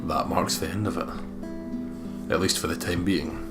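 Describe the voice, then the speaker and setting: A man's voice: low voice, steady tone, normal speed, gravelly, over music. A man reading a horror visual novel aloud as a dramatic, brooding first-person narrator. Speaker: let's player reading the game aloud.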